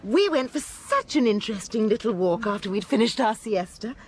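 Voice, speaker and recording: A woman's voice: fairly high pitch, expressive, a little fast, a little hiss or hum, natural voice. An actress playing a chatty, genteel, fussy lady tourist in a radio drama, with faint ambience behind. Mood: chatty, sociable.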